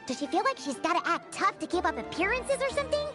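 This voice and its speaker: Tiny game fairy sidekick: squeaky-high, cute, chatty, very animated delivery. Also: female voice, quick pace, over loud music. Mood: pondering. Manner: perky, chatty, refers to self in third person. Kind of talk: animated video game voice acting.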